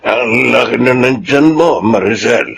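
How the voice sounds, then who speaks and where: Sick old grandpa in radio drama, gravelly, sorry and loving, bit of noise behind. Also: male voice, mid-range voice, lively intonation, measured pace. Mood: concerned.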